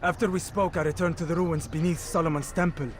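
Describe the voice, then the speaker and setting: Guy playing earnest medieval fighter in a game, solemn formal talk, slightly high voice, faint noise. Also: fairly even intonation, fast, close to an everyday voice. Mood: grave, disillusioned. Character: earnest, loyal medieval male warrior, gravely determined. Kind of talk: dramatic video game cutscene acting.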